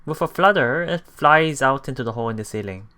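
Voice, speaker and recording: A man's voice: mid-range voice, animated, brisk, sharp tone, clear, resonant tone. A man hosting a let's play, reading a visual novel aloud and adding casual reactions, sometimes repeating himself. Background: game music.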